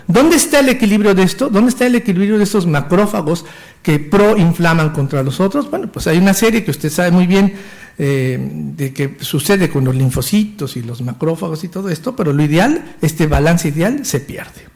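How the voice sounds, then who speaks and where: A man in his fifties with a Mexican Spanish accent lectures on medicine in a high, bright voice. He talks eagerly and technically, and sometimes stumbles and restarts a word before going on. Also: animated, moderate pace, creaky. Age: 50s. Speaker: physician lecturer.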